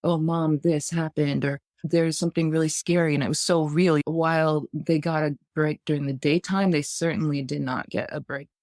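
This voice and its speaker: A woman in her thirties from the United States, a podcast guest telling her personal story in a measured, thoughtful way. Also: low voice, steady tone, darkish tone, dry recording, studio quality. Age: 30s.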